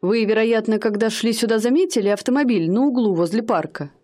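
An actress playing a haughty young woman posing as a high-society lady, speaking in an affected, aloof manner in a radio play. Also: fairly quick, warm tone, lightly acted.

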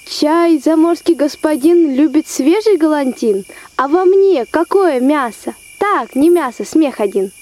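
Young woman as a high, soft-toned pleading dove. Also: expressive, a little slow. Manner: pleading, coaxing. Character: gentle talking forest dove pleading for her life. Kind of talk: rhymed fairy-tale radio play.

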